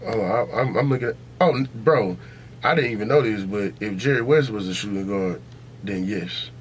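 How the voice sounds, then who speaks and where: A man's voice: fairly low pitch, moderate pace, slight background noise. An American man hosting a podcast, debating sports casually but heatedly, swearing freely and repeating himself to press his point. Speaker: podcast host.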